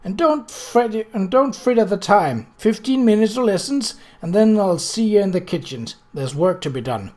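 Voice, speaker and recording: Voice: mid-range voice, expressive, normal speed, hardly any pauses, darkish tone, voice pushed up, natural voice. A reader voicing a kindly but bossy working-class woman cook with folksy, matter-of-fact speech in a story.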